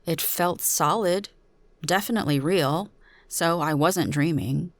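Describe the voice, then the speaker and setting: A woman with a low voice gives a dramatic reading of a suspense story. She narrates in the first person as the storyteller. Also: unhurried, no room sound.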